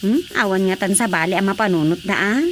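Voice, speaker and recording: A woman voicing a sharp-tongued village wife. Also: mid-range voice, fast, a little hiss or hum, close to an everyday voice. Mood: grumbling. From Philippines. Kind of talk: radio drama acting.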